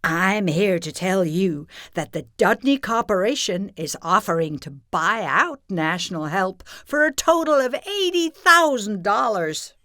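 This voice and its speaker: A woman's voice: mid-range voice, lively intonation, a little slow, hardly any pauses, bright, forceful tone, no room sound, studio quality. A woman playing a scheming, haughty female corporate villain in an audio play, pompous and theatrical in manner. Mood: grandly persuasive.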